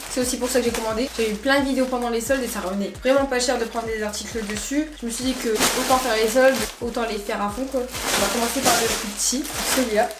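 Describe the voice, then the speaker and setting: A woman's voice: a little high, steady tone, quick pace, few pauses, roomy sound, very noisy. A woman with a cold chatting casually as she unboxes and tries on clothes, rambling and correcting herself. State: has a cold. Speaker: vlogger. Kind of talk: casual try-on haul chat.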